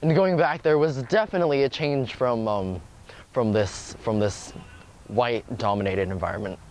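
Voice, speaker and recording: Cali teen guy, mid pitch, lots of ums and you knows, thinking out loud. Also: normal speed, a little hiss or hum. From California. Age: teens. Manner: thoughtful, with many ums and "you know". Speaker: student interviewee. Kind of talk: documentary interview.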